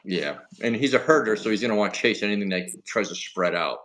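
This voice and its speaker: Relaxed low-voiced man chatting on a livestream. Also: fast. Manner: relaxed, chatty, reads out viewer comments. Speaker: livestream host. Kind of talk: casual livestream chat. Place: home homestead in Alaska.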